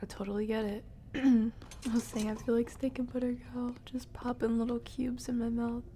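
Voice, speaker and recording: A young woman streaming a game from her room, chatting casually and playfully, rambling and often dropping to a breathy near-whisper. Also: fairly high pitch, flat delivery, moderate pace, dark tone, slight background noise. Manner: playful, rambling, murmuring to herself. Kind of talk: casual live stream chat while playing.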